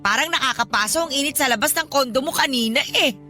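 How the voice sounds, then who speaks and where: A woman's voice: sits high, fast, bright, forceful tone. A woman playing a demanding, wheedling older godmother with a Filipino accent, chatty and nagging in a radio drama. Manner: chatty, nagging, theatrically pleading. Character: demanding, wheedling older godmother guilt-tripping her goddaughter. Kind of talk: Tagalog radio drama acting. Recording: music behind the voice.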